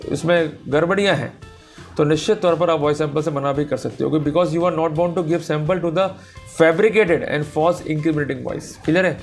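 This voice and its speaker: An Indian man giving an explanatory law lecture, emphatic and direct, posing rhetorical questions and switching between two languages. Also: a little high. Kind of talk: explanatory legal lecture mixing Hindi and English.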